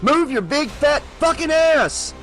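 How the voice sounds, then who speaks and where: A man's voice: very high voice, animated, slow, fairly bright tone, faint music behind, voice pushed up, heavily acted. A man voicing a desperate handcuffed man who shrieks for help in a storybook reading. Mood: frantic. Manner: shrieking, frantic.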